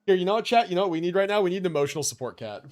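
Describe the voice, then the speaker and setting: A man livestreaming a game with casual, slangy commentary, musing out loud and swearing now and then. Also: sits high, animated, rapid-fire.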